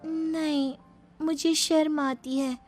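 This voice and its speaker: Woman playing coy sweet young bride, cheeky, high voice, cartoon story. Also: steady tone, slow pace, darkish tone, faint music behind. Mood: shy.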